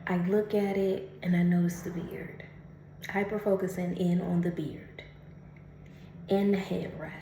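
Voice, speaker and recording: A woman doing a casual oracle card reading, chatty and laughing often, who calls the listener honey and reads the card text slowly and carefully. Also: lowish voice, fairly even intonation, warm tone, reverberant, slight background noise.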